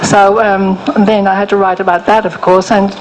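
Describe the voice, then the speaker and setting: Older woman with a soft voice, gentle and frank, pausing and restarting; interview guest, faint hum behind. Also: mid-range voice, little pitch movement. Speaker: guest author. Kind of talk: interview, personal story.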